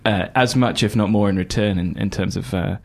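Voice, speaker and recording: A man from the UK with a British accent softened by New Zealand speech, talking about volunteering with frequent pauses and restarts. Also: on the low side, steady tone, vocal fry. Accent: British with New Zealand touches. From United Kingdom. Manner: halting, many fillers and restarts; upbeat and enthusiastic. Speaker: Red Cross volunteer coordinator. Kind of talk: radio interview guest.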